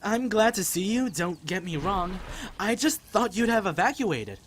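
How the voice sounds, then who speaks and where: A woman's voice: mid-range voice, very animated, unhurried, a little hiss or hum. A woman voicing an earnest, friendly and slightly hesitant teenage boy in an apocalypse audio drama. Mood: fond, teasing. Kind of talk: fan audio drama dub acting.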